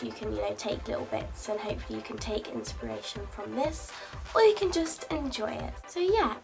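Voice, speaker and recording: Congested young woman narrating a makeup tutorial. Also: fairly high pitch. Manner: chatty, friendly, step-by-step explaining. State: congested, says she is sick. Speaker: beauty vlogger. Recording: voice-over with clear music behind.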